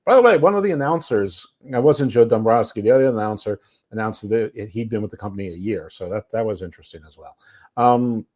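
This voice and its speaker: A man's voice: fairly low pitch, lively intonation, brisk, darkish tone. A man co-hosting a podcast, reviewing wrestling in casual chat, rambling with restarts and chuckles. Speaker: podcast co-host.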